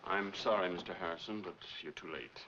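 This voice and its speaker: A grave doctor with a very deep voice, solemn and sympathetic in a 1940s noir film. The old soundtrack is muffled and noisy. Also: male voice, fairly quick. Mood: somber. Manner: solemn, formal.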